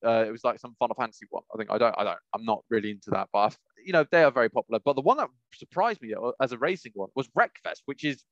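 A man's voice: mid-range voice, a bit bright, no echo. British man, rambling, in dull lo-fi audio. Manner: rambling, self-correcting. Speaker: podcast co-host. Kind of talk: casual gaming podcast chat.

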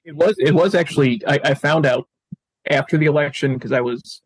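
A man's voice: on the high side, a little fast, husky, no echo, rough recording. A man speaking casually as a podcast guest, stammering and often repeating words as he goes. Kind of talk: casual interview talk. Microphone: video call.

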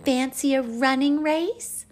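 A woman voicing an eager, playful little boy hippo, excitable and childlike, in a children's bedtime story. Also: high-pitched, slow pace, voice pushed up. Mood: excited, playful.

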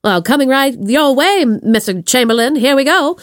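A brisk woman announcer of a 1940s radio commercial, as a woman acts her out. She has an affected transatlantic delivery and a lively, fairly high voice, recorded close and dry. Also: no room sound. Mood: brisk, chirpy. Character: brisk woman radio announcer selling diet pills to housewives. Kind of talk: 1940s old-time radio acting.

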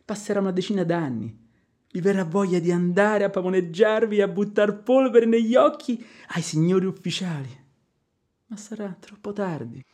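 A man's voice: high-pitched, moderate pace, darkish tone. An older man acting in a radio drama as a fussy, pleading old manservant, coaxing and homely.

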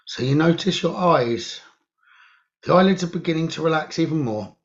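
Man guiding a meditation, slightly muffled recording. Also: fairly high pitch. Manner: measured, hushed delivery in short phrases with pauses. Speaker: meditation teacher leading a guided meditation. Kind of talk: slow guided meditation, soothing instruction.

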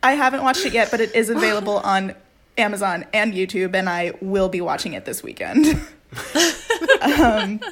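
A woman's voice: mid-range voice, animated, normal speed. A woman co-hosting a casual podcast, telling a fact with enthusiasm, frequent laughs and fillers. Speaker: podcast co-host presenting a fact.

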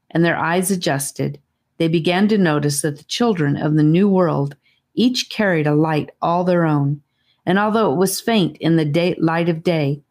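Female audiobook narrator, low voice, scripted children's fantasy storytelling. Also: a little mellow.

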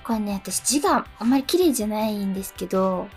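A woman's voice: mid-range voice, animated, fast talker. A woman in her twenties co-hosting a radio show, chatting casually and politely. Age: 20s. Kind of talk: casual radio chat and reading listener letters. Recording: radio talk with faint background music. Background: faint music.

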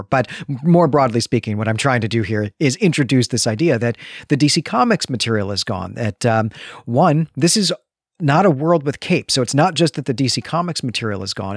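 A man speaks as a podcast co-host in a casual review discussion. His medium-pitched voice is measured yet enthusiastic, often qualifying itself with small asides, and the sound is close and dry. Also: dry recording.

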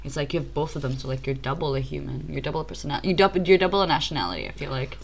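Young woman cohost, kinda low voice, rambles and giggles a lot. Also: lively intonation, racing, creaky. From Asia. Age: young adult. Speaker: co-host. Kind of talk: casual chat.

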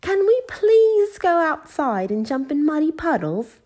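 A woman plays a little girl piglet from a children's storybook, eager and a bit bossy. The voice is high and childlike, swooping up and down with exclamations. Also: huge pitch swings, slow, mellow, full character voice.

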